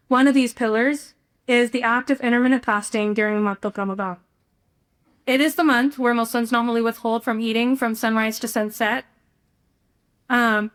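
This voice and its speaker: A young American woman from Texas, a student speaker delivering a prepared speech in an earnest, deliberate way. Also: fairly high pitch, a bit bright. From Houston, Texas, United States. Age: young adult.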